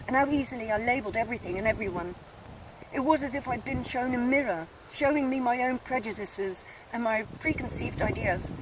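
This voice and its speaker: A woman with a Dutch accent reading aloud from her own book in an earnest, measured way, outdoors in a snowy field. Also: a little high. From Netherlands. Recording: distant mic, outdoor noise.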